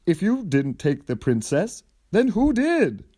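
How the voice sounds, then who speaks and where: A man acts out a bumbling storybook hero, trying hard to sound bold. The voice jumps high and swings widely, with loud, declamatory delivery. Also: wildly swooping pitch, unhurried, husky, no echo, clean studio sound, full character voice. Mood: bold, puzzled.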